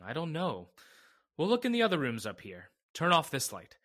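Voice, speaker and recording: A man playing a brisk, level-headed and skeptical attorney in an old-time radio drama. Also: on the high side, wildly swooping pitch, background noise. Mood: dismissive, practical.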